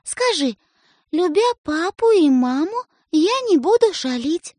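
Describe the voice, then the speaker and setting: A woman's voice: very high voice, very animated, takes it slowly, darkish tone, dry recording, pitched above the performer's own voice, exaggerated voice. A woman voicing a bossy little girl who coaxes and lectures her younger brother. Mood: coaxing. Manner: wheedling, then stern and preachy. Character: bossy little girl, coaxing older sister.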